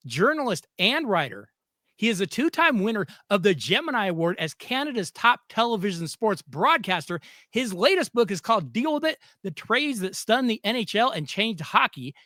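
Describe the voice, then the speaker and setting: A man's voice: high voice, big pitch swings, normal speed, bright, forceful tone, no echo, studio quality. A man hosting a sports podcast, introducing his guest and asking questions with eager enthusiasm. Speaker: podcast host. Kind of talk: casual sports interview.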